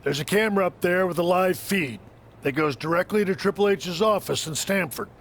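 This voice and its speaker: A man's voice: high-pitched, a little fast, fairly bright tone. A man playing a composed, matter-of-fact wrestling trainer in a video game cutscene. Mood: explanatory. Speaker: coach.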